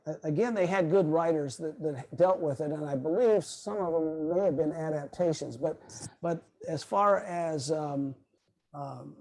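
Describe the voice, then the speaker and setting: An older man with a fairly high but soft, mellow voice, speaking as a guest in an online meeting. He talks informally and haltingly, wandering through his thoughts and regrouping as he goes. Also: creaky, poor audio. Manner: halting, rambling, loses his train of thought. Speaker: guest speaker, radio history author. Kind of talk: informal question-and-answer talk. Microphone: video call microphone.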